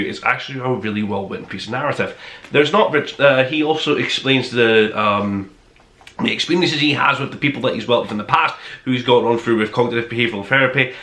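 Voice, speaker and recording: A man with a bright, forward voice and a middling pitch talks in a roomy, echoing indoor space. He presents a casual book review as the host, enthusiastic and effusive. His delivery is conversational and rambles along as if chatting straight to the viewer. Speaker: podcast host reviewing books. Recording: roomy, echoing room sound.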